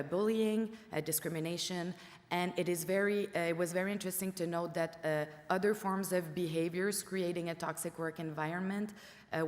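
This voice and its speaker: A woman's voice: on the low side, long reverb tail. A woman researcher, a native French speaker, giving a conference presentation in English, with frequent "uh" fillers as she reads through results. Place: conference room. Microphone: room microphone.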